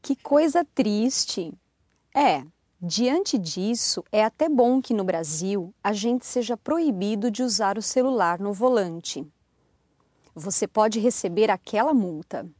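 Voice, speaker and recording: A woman co-hosting a language podcast, reading dialogue lines in a lively way. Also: mid-range voice, a little slow, no echo, studio quality.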